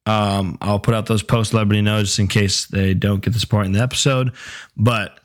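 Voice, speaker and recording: A young man talks loosely into his podcast mic, laid-back and easy, swearing now and then. His voice sits a little low and sounds hoarse and worn, as if his throat is giving out. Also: little pitch movement, a little fast. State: sore throat, losing voice. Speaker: podcast host. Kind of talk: casual solo podcast chat.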